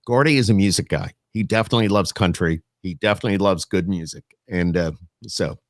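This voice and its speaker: A man's voice: low-pitched, a little fast, no echo, studio quality. A man hosting a casual remote interview, talking in an informal, rambling and self-correcting way. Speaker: podcast host, radio interviewer.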